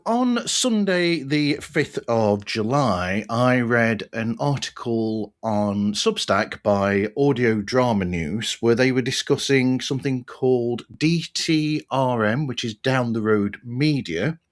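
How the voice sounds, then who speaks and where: A man hosting a podcast, talking in an earnest, measured, conversational way as he reviews and interviews. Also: medium pitch, animated. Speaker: podcast host.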